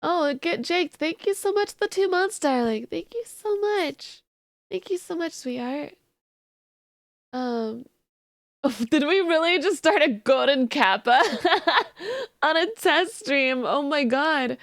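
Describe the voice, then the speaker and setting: A woman's voice: sits high, lively intonation, takes it slowly, dry recording, clean studio sound. Sleepy, chatty woman streamer. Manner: chatty, thanks viewers warmly and giggles. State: sleepy, just woke up. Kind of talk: casual live-stream chat.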